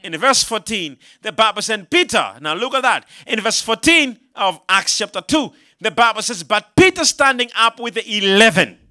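High, bright-voiced male preacher. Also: big pitch swings, normal speed, few pauses. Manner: call-and-response, rising to shouts. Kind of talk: sermon.

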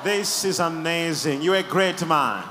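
A man leading a church service, preaching emphatically and shouting blessings between quieter questions to the people testifying. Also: a little high, animated, measured pace, big-room sound, crowd noise, noisy background.

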